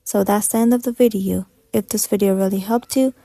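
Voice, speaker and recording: A woman's voice: mid-range voice, fairly even intonation, a little fast, creaky, mellow, no echo. A woman giving scripted step-by-step tutorial narration in a methodical, instructional manner.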